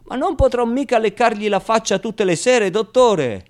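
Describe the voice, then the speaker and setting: Man acting old madam, blunt foster mother, exasperated, colloquial, pitched up high, radio theatre. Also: animated, normal speed. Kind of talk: theatrical radio monologue, quoted dialogue.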